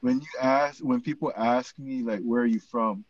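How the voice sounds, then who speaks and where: A man from the United States co-hosting a podcast, talking casually and haltingly with many fillers. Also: mid-range voice, dry recording, muffled recording. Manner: casual, halting, lots of fillers like "you know". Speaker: podcast co-host.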